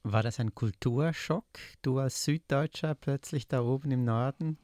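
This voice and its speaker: A man hosting an interview, relaxed and asking short leading questions. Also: mid-range voice, normal speed, no echo. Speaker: interview host. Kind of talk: conversational interview.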